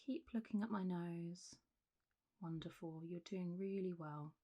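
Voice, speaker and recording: A woman playing a calm, reassuring doctor in a soft-spoken ASMR roleplay, gently drifting in and out of whispers. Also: on the low side, a little slow. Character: calm, reassuring female clinic doctor for zombie patients. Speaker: ASMR performer.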